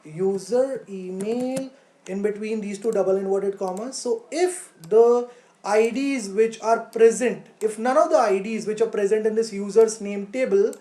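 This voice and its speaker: A woman's voice: medium pitch, a little slow, dark tone, echoey room. A woman teaching a live online coding lesson step by step, posing questions and answering them herself. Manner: instructional, poses questions then answers them, checks with "right?".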